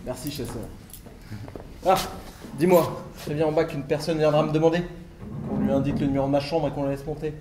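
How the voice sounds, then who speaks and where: An actor playing a fussy, flustered gentleman lover in a stage farce, blustering, exasperated and repeating himself emphatically. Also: fairly high pitch, fairly even intonation, brisk, long pauses, close to an everyday voice. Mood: preoccupied. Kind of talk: broad theatrical stage farce acting. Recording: echoey stage, faint background noise.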